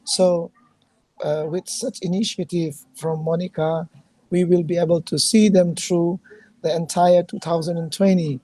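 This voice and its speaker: A woman from Manipur whose voice is going hoarse, talking on a video call. Her tone is dark and mellow, and the sound is close and dry with little room. She speaks earnestly and haltingly, full of ums and small restarts. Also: lowish voice, fairly even intonation, no room sound, poor audio. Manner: halting, earnest, many fillers. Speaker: guest, charity founder. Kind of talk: casual video call conversation.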